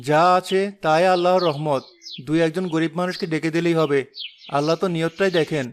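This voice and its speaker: A man voicing a poor, devout, gentle village father in a cartoon moral drama, speaking earnestly and tenderly. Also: on the high side, fairly even intonation, brisk, slight background noise, natural voice. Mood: calm.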